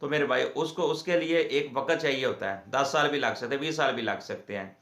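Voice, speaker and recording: Male legal explainer host, Pakistani accent, medium pitch, halting informal delivery, roomy echo. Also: a bit bright. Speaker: host of a legal advice channel, lawyer. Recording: echoey room.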